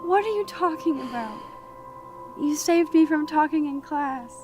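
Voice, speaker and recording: Earnest high-voiced teenage girl, rain behind. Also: expressive, measured pace. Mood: pleading, reassuring. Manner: earnest, coaxing. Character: earnest, determined teenage schoolgirl pleading with friend. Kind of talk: dramatic video game acting. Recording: clearly noisy, rain pattering behind.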